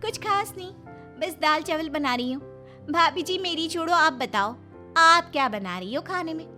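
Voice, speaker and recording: A very high, swooping voice of a young daughter-in-law acted by a woman storyteller. She is sly and work-shy, whining theatrically in an exaggerated storybook manner. Music plays behind her. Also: lively intonation, moderate pace, sharp tone, heavily acted. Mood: chatty. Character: lazy, scheming young daughter-in-law faking pain and illness.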